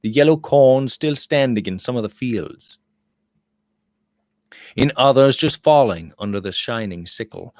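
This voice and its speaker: A man reads literary fiction aloud in a medium-pitched voice. His phrasing is deliberate and expressive, as an audiobook narrator's. The sound is dry, with very little room in it. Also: dry recording. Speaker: audiobook reader.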